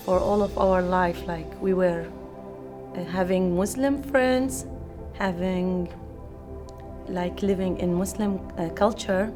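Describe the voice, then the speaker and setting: A woman from Iraq with an Iraqi accent, giving personal testimony in simple, informal phrasing. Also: medium pitch.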